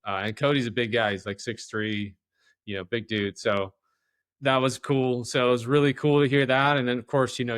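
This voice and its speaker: Guy hosting a podcast, kinda low voice, informal and chatty, really dry sound. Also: fairly bright tone, clear, resonant tone, dry recording. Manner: informal, loosely phrased. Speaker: podcast host. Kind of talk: casual chat, interviewing.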